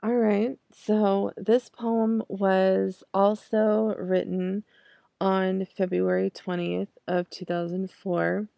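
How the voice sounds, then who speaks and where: A young adult woman hosting a poetry podcast with a raspy voice, talking in a halting way with many restarts. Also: medium pitch, flat delivery, mellow, dry recording. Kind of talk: casual talk and poetry reading.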